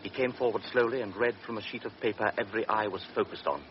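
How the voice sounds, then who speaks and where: A man narrating a radio drama in a grave, measured way. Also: on the low side, fairly even intonation. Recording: dull old radio recording with hiss.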